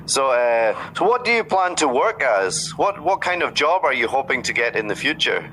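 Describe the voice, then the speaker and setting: A man from Scotland, tutoring English over a video call in a patient, encouraging way and explaining words slowly. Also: mid-range voice, sing-song, sharp tone, slight background noise, poor audio. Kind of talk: casual conversation lesson.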